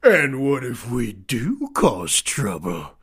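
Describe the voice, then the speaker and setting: An actor playing a swaggering, mocking outlaw fish gang boss in a Western audio drama, with a raspy Old West drawl. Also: male voice, mid-range voice, wildly swooping pitch, slow pace, a bit bright, heavily acted. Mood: mocking, amused. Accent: put-on American Old West drawl. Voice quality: raspy, creaky. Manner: taunting, drawling.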